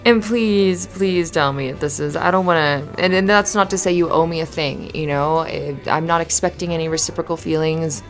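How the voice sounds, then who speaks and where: A woman playing a cute, flustered, flirty barista in an ASMR roleplay, kind and giggly, with halting, rambling talk. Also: deep voice. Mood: shy, hopeful. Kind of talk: ASMR roleplay, conversational acting. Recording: music under the voice. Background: music.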